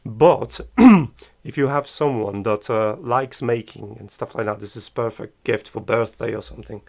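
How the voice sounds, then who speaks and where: Gravelly man muttering while he tinkers. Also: medium pitch, unhurried, a little mellow. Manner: thinks aloud, muttering, slightly broken English. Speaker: maker streamer. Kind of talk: casual live-stream chat while building.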